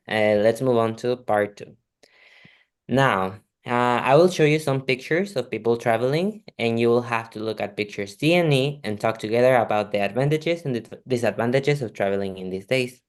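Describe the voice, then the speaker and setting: A man conducting an oral speaking exam, polite and measured, with occasional restarts. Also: mid-range voice, clear, resonant tone. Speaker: examiner.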